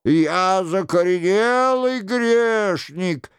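Мужчина изображает высокий жалобный притворно кающийся голос. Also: male voice, animated, clean tone, dry recording, studio quality, full character voice. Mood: mock-penitent. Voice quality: plaintive, high-pitched. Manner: drawn-out, exaggerated penitence. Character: mocking jester imitating the king in plaintive mock confession.

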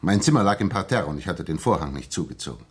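Earnest, husky-voiced ex-soldier. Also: male voice, low voice, big pitch swings, quick pace, full character voice. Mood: earnest, suspenseful. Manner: earnest, hesitant, repeating words when agitated. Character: earnest, shaken young English ex-soldier telling his story. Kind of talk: 1960s German radio drama acting, dramatic narration.

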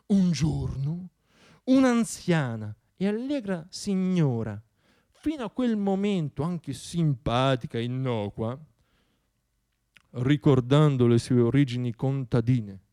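A man performing a satirical radio theatre monologue in a dramatic, ironic storytelling manner. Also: a little high. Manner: dramatic, ironic, shifting between hushed and declaimed.

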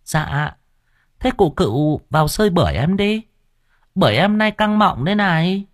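Một người đàn ông giả giọng cô bán bưởi lả lơi giọng Bắc. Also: male voice, sits high, very animated, normal speed, no echo, clean studio sound, pitched above the performer's own voice. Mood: flirtatious. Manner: teasing, suggestive, sing-song.

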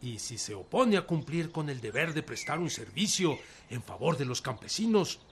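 An actor playing a commanding revolutionary general in a radio drama, speaking in an emphatic, authoritative, rustic way. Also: male voice, fairly high pitch, very animated, normal speed, slight background noise. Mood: commanding, wry. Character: Emiliano Zapata, commanding male revolutionary general, rallying his men.

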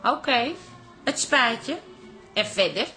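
Brisk scolding housewife in radio comedy: sharp, high voice, very animated. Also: female voice, faint music behind, exaggerated voice. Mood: stern. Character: brisk, exasperated daughter and wife keeping family in line. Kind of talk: comic radio drama acting.

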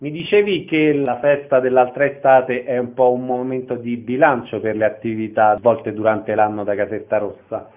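A man's voice: medium pitch, fairly even intonation, normal speed, hardly any pauses. A man conducting a radio interview as the host. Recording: narrow phone-like sound.

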